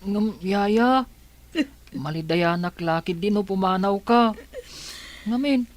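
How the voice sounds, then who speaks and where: A woman's voice: medium pitch, slow, no room sound, lightly acted. A woman acting a fond, pleading young member of a household in an Ilocano radio drama. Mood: sad, pleading.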